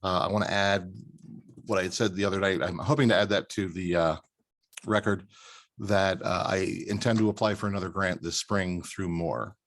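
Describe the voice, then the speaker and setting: A man chairing a town board meeting, running through the minutes and votes in a brisk procedural way with fillers. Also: deep voice, fairly even intonation, fairly bright tone.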